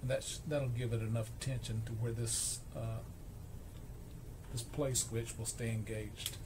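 A man giving an informal, halting, explanatory narration of a hands-on repair, as a repair vlogger at a workbench. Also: mid-range voice, little pitch movement. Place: indoor electronics workbench. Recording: clearly noisy workshop camera recording.

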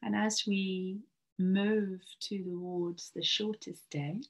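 A woman guiding a yoga nidra relaxation in a soothing, breathy manner that often lapses into a near-whisper. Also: medium pitch, fairly even intonation, takes it slowly. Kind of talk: guided relaxation meditation.

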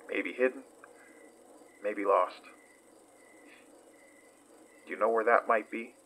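An actor in a horror audio drama playing a gentle, determined man who speaks in a hushed, halting, soothing way with a breathy, rough edge. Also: fairly low pitch, exaggerated voice. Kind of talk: audio drama acting, horror fiction podcast.

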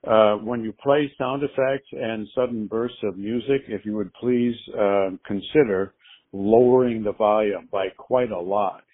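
Male caller, measured and courteous, raspy lowish voice, dull phone message recording. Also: steady tone. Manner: measured, polite, deliberate phrasing. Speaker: fan leaving a voicemail.

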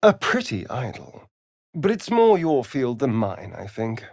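An actor playing a sardonic, flirtatious vampire rogue in a fantasy video game, with an arch, theatrical, drawling delivery and sly asides. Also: male voice, mid-range voice, huge pitch swings, unhurried, a little mellow, no echo, clean studio sound, full character voice. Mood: arch, amused. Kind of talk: video game character voice acting.

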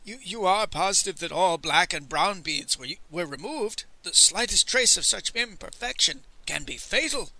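Man doing a fretful, stammering fat merchant, high-pitched. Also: expressive, normal speed, hardly any pauses, bright, forceful tone, no echo, exaggerated voice, rough recording. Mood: contrite, nervous. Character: fat gluttonous merchant, anxious, obsequious, easily horrified.

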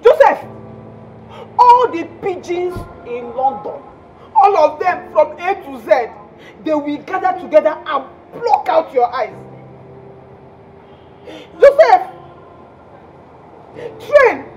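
An actress as high-pitched, dramatic Nigerian girlfriend. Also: sing-song, takes it slowly, pauses often, darkish tone, lo-fi sound, a little hiss or hum, exaggerated voice. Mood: furious, cursing. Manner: theatrical, exclamatory, repetitive. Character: jilted Nigerian girlfriend, hot-tempered, dramatic, cursing her lover. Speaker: actor in a comic relationship drama. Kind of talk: dramatised phone-call dialogue.

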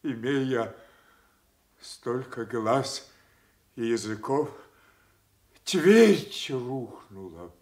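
An old king speaks very deliberately in a medium-pitched voice. He is broken and grieving, declaiming in halting, anguished phrases in classical radio theatre style. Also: animated, darkish tone. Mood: anguished grief. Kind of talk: classical stage tragedy acting for radio.

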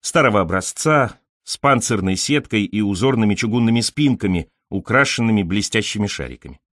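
A man reading literary fiction as an audiobook narrator, in an expressive, carefully scripted storytelling delivery. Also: on the low side, fairly quick, no echo, clean studio sound.

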